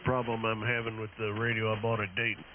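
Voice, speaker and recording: A man's voice: fairly low pitch, completely flat delivery, fast talker. A man, an amateur radio operator, in casual technical chat over the radio. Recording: noisy, narrow-band shortwave radio transmission.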